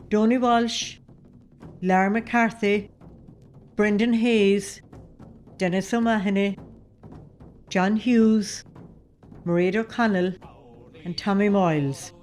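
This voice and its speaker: A woman's voice: mid-range voice, darkish tone. A woman reading out scripted credits as an announcer. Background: faint music.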